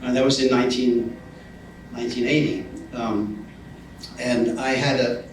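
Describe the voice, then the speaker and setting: An older English man gives an informal talk as a retired academic. He speaks haltingly and reflectively at a middling pitch, picked up from a distance with strong echo and faint noise. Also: flat delivery. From north of England. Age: 60s or older. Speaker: retired university lecturer, guest speaker. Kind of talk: informal public speech.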